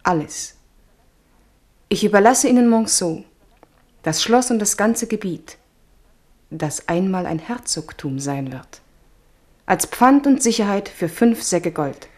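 A woman's voice: mid-range voice, lively intonation, slow pace, pauses often, darkish tone. A woman playing a poised noble lady, the king's beloved, in a radio drama, speaking firmly. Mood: resolute. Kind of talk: 1970s radio drama acting.

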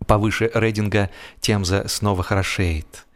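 A man's voice: on the low side, steady tone, normal speed. A man reading a humorous story as scripted audiobook narration, expressively, drawing out the ends of his phrases.

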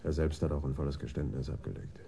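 A man's voice: very deep voice, fast, gravelly, dark tone, slight background noise. A male actor playing a courteous, deferential police officer giving formal reports in a radio crime drama. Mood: reporting calmly.

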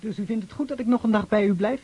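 A man acting an eager young army officer in a radio drama, stammering with breathless excitement. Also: high-pitched, rapid-fire, husky, dry recording. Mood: pleading, eager. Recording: noisy old radio play recording.

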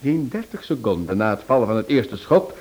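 An actor plays a theatrical male sleuth in a radio drama. He tells his case with relish and emphasis, his mid-range voice swinging widely in pitch. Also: sing-song, brisk, a little mellow, close to an everyday voice. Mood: dramatic relish. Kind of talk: Dutch radio play acting.

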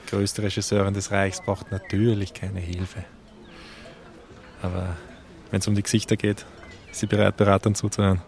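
An actor with a Viennese accent playing a wry camera assistant in a radio drama, dry and ironic. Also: male voice, lowish voice, little pitch movement, normal speed, gravelly, a little mellow. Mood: dryly ironic. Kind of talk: German radio drama acting.

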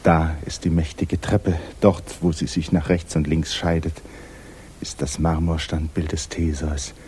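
An actor narrating a 1950s literary radio drama as a grave, intimate storyteller with measured, dramatic delivery. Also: male voice, extremely low pitch, flat delivery, darkish tone, slight background noise. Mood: hushed, intense. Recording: 1950s radio recording, music behind.

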